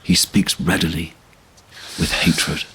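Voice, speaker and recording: A man's voice: very deep voice, flat delivery, takes it slowly, bright, forceful tone, slightly rough. A man giving solemn scripted narration for a fantasy audio drama. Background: orchestral string music.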